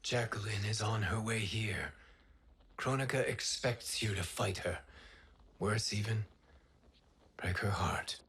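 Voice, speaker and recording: Solemn video game wind god: lowish, very slow, formal delivery. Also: male voice, a bit bright. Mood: earnest. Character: calm, wise male wind god. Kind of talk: video game cutscene acting.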